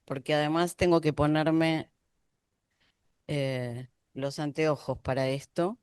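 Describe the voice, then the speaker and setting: A woman's voice: low voice, steady tone, slow, darkish tone, clean tone, dry recording, clean studio sound. A woman coordinating an academic symposium, introducing the speakers in a chatty, informal way with frequent fillers.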